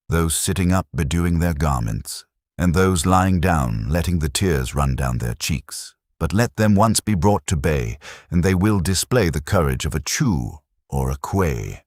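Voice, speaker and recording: A man narrating an audiobook of a classic treatise in measured, deliberate phrases. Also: extremely low pitch, no echo, studio quality. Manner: measured, declarative delivery in short deliberate phrases.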